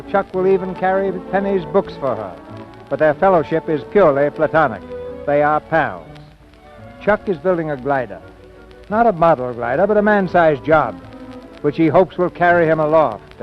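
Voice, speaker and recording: A male radio host narrates in a polished 1940s theatrical manner. His voice is on the high side, with some music around it and the dull sound of an old broadcast. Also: lively intonation, normal speed. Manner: polished theatrical presenter delivery.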